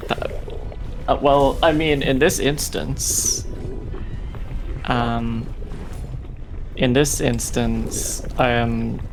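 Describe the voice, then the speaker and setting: A man's voice: medium pitch, fairly even intonation, very slow, long pauses, over music, low-quality recording. A man from Ireland with a mild, neutral accent carrying faint Australian and Irish traces, chatting on a stream in a dry, wry way. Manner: dry, wry and self-deprecating. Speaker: streamer's guest. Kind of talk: casual stream chat.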